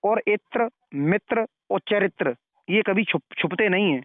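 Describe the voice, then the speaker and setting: A man's voice: high voice, lively intonation, a little slow, no echo. A man talking on a phone call in a moralizing, preachy way, advising and repeating his phrases for emphasis. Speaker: caller questioning and advising the other party. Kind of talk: phone conversation. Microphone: telephone. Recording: narrow tinny phone-call recording.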